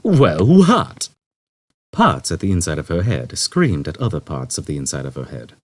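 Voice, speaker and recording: A man's voice: extremely low pitch, sing-song, brisk, no echo. A man reading a novel aloud as scripted, dramatic audiobook narration. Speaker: audiobook reader.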